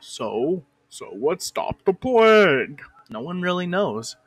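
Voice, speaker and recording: Slow, mock-educational parody narrator. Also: male voice, high voice, big pitch swings, fairly bright tone, slightly rough, no room sound. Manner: mock-educational, swears and breaks off to argue with a director. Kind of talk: comic fan parody narration.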